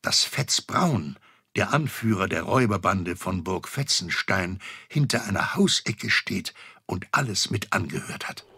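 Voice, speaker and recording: A man's voice: low-pitched, animated, measured pace, vocal fry, bright, forceful tone, breathy edge, dry recording, clean studio sound, full character voice. A middle-aged man narrating a children's audio adventure as a genial storyteller. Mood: genial, wry. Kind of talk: scripted narration.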